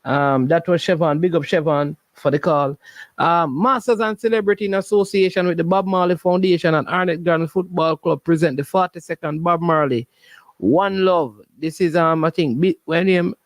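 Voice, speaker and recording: Casting: male Jamaican call-in host with a high-pitched voice, casual and joking, recorded dry. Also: normal speed, no room sound. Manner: animated, jocular, repeats phrases. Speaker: host of a sports call-in show. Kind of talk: casual live call-in chat in Patois.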